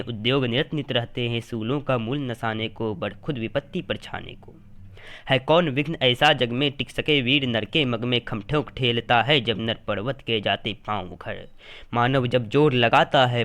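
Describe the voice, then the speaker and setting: A man recites epic poetry in a medium-pitched voice. His delivery is declamatory and dramatic. He moves through the verse in short, measured phrases with a firm sense of rhythm. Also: fairly bright tone.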